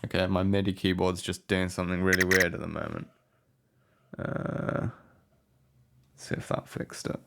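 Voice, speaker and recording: A man giving a casual, unscripted software walkthrough as a tutorial presenter, low-key and hesitant in manner. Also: level tone, normal speed, creaky, pauses often. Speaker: music production tutorial presenter.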